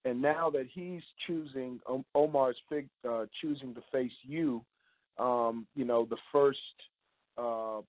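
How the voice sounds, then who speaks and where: A male reporter asking informal press questions in a halting way, rephrasing them as he goes. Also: medium pitch, fairly even intonation. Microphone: telephone. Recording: narrow-band conference phone line.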